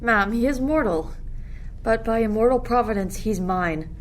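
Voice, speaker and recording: A woman's voice: a little high, moderate pace, natural voice. A woman playing a devoted young royal lover in a Shakespeare radio play, speaking earnestly and formally. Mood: earnest, reverent. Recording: noisy recording with ambient sound texture.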